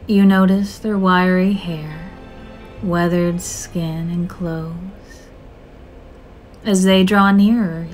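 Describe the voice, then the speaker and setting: A woman narrating a scripted guided meditation in a soothing, measured, gentle manner with drawn-out phrases. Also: medium pitch. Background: soft music.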